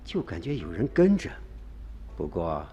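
Man doing a careful haggling antiques dealer, lowish voice, kinda wheedling, faint music behind. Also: wildly swooping pitch, measured pace, mellow, exaggerated voice. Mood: wary. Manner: cautious, bargaining, wheedling. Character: wary, shrewd male antiques dealer, middle-aged. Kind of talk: radio drama acting.

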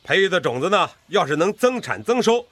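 An actor playing a proud, stubborn, hearty old farmer in a radio drama, with folksy, exclamatory speech. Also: male voice, high-pitched, very animated, a little fast, fairly bright tone, no room sound, full character voice. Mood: modest, generous. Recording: studio radio drama with music behind.

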